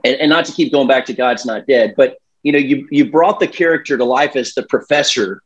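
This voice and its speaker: A man's voice: mid-range voice, brisk, muffled recording. A man co-hosting an interview, asking questions in a casual, affirming way with fillers. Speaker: co-host.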